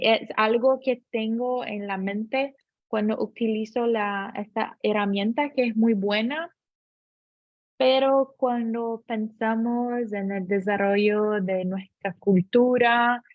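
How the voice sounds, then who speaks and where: Female panelist, Portuguese native, very slow and halting, on dull dry lo-fi remote audio. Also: mid-range voice, no room sound. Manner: slow, halting, mixing in English words. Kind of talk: panel discussion remarks.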